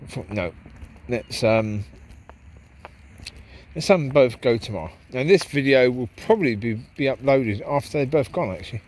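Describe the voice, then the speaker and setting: A man's voice: mid-range voice, lively intonation, moderate pace, darkish tone. A man talking casually to camera as he walks his dogs through a park at night, reflective and rambling. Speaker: vlogger walking his dogs. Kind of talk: casual walk-and-talk monologue. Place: park path at night, outdoors. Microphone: handheld camera while walking.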